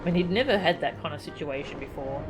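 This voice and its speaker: A woman's voice: low voice, fast, rough recording. A woman chatting casually as she streams a video game. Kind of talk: casual livestream chat while playing. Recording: game music behind the voice. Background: game music.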